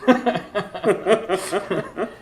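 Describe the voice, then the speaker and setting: A man's voice: high-pitched, extremely slow, a little hiss or hum. A man acting as a jovial, teasing, worn-out friend in a radio drama. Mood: amused, teasing.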